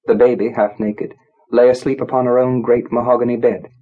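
A man narrating a literary story from a script, in a measured, grave, dramatic storytelling manner. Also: medium pitch, little pitch movement, slightly rough, phone-quality sound. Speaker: audiobook narrator.

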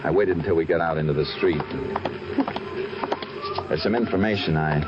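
A man in 1940s radio acting, playing a steady Texas Ranger who narrates his case in a measured way, on an old, muffled radio recording. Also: low-pitched, heavy background noise, natural voice.

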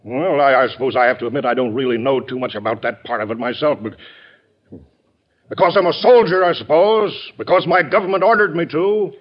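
An actor playing a blustery, hard-pressed American army general in classic radio drama style, exclaiming with emphasis. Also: male voice, mid-range voice, a little fast, lightly acted. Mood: grim, exasperated. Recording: dull vintage radio recording.

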